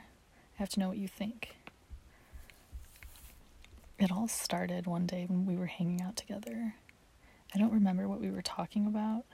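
A woman playing a lovestruck, submissive friend confessing her longing in an intimate audio roleplay, in a hushed, breathy, hesitant whisper. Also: medium pitch. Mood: shy, confessing.

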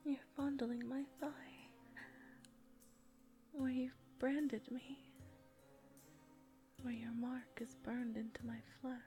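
A woman playing a whispering young captive, high voice. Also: flat delivery, faint music behind. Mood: submissive. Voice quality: soft breathy whisper. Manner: halting, repeats words when upset. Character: young pregnant captive woman, tearful and devoted. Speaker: audio roleplay performer. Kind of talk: intimate audio roleplay.

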